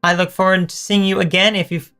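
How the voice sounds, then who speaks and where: A hoarse woman streamer chatting casually and teasingly with her viewers as she wraps up a live stream, often correcting herself mid-sentence. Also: fairly low pitch, level tone, a little fast, a bit bright, soft background music. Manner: rambling, self-correcting, teasing chat toward viewers.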